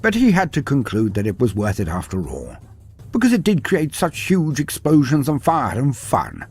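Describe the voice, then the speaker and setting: A man's voice: mid-range voice, huge pitch swings, moderate pace, darkish tone, slightly rough. Theatrical man narrating with sweeping intonation. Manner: theatrical, grandiose. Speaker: narrator of fantasy lore videos. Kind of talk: dramatic scripted storytelling narration. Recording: background music under the voice. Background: music.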